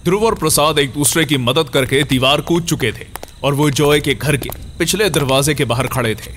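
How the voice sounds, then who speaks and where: A man's voice: on the high side, normal speed, background noise. A man giving scripted narration for a Hindi horror-comedy audio story.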